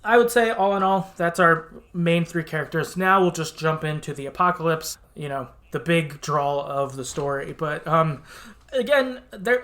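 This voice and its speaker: A podcast host in relaxed discussion mode, speaking at a middling pitch. The delivery is informal and conversational, feeling its way through a retold story with loose, hedged phrasing. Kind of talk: casual podcast discussion retelling a game story.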